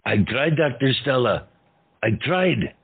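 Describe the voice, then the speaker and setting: A man playing George, a big burnt orderly who begs the doctor to save his friend, with a gravelly, husky voice. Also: medium pitch, very animated, a little slow, heavily acted. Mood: pleading. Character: big gentle burnt male orderly, pleading. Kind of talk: amateur audio drama acting. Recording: tinny phone-like sound, faint music.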